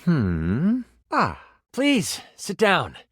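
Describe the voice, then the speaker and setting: A man's voice: fairly high pitch, wildly swooping pitch, very slow, fairly bright tone, husky, no room sound, studio quality, heavily acted. An actor playing an exasperated, sardonic male game master, a living die in a video game, narrating with theatrical flair. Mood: thoughtful. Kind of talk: tabletop game narration with banter, video game voice acting.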